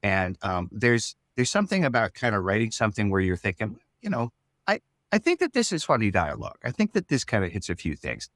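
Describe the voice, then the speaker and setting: A man talking casually in an interview as a show's writer, rambling and halting as he thinks aloud. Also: fairly low pitch, very animated, a bit bright, no echo, studio quality. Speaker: show writer and creator.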